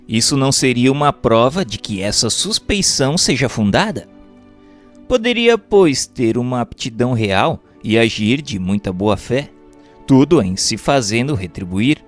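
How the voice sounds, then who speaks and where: A man with a Brazilian accent reads a scripted book chapter as narrator, in a formal, measured manner. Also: medium pitch. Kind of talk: scripted narration of a spiritual treatise. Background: background music.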